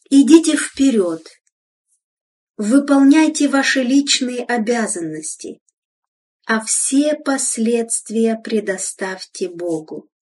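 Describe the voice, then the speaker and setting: Slow, husky woman reading solemnly. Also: a little high, darkish tone, distant mic. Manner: solemn, measured, exhortative. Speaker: reader of devotional text. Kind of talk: scripted devotional reading.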